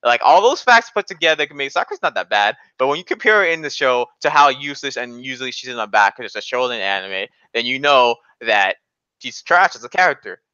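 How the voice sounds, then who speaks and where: A man's voice: medium pitch, animated, fairly quick, pushed and bright, clean tone, no echo, highs cut off. A man casually debating anime in an argumentative, insistent way, often repeating his points.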